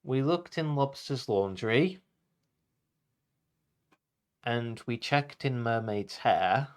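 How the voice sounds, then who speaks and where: A man narrating a rhyming children's story as a show host, with a playful, deliberate delivery. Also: medium pitch, animated, clean tone.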